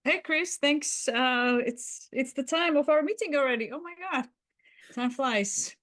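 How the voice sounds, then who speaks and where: A woman's voice: high voice, fairly bright tone, voice pushed up. Woman acting as a breezy, evasive female boss. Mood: flustered, rushed. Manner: flustered, hurried, effusive. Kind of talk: workplace roleplay.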